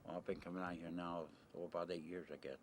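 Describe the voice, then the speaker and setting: A man's voice: low-pitched, flat delivery, fast. An older American man in a casual interview, rambling in a blunt, plain-spoken way with mild swearing. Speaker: interviewee, retired merchant seaman. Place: on location at a desert camp, ambient noise. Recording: noisy 1990 amateur videotape sound.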